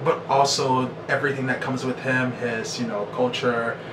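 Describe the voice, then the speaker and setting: A man's voice: medium pitch, little pitch movement, normal speed. An American man in his thirties, casually telling a story to camera as a vlogger. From United States. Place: small bedroom indoors. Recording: roomy echo, distant mic, noisy background.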